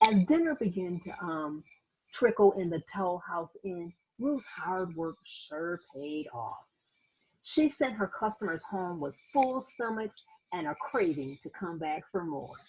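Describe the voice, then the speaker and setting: A woman reading a children's picture book aloud as host, in an expressive storytelling manner with little asides to young listeners. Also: lowish voice, a little slow, a little hiss or hum, rough recording.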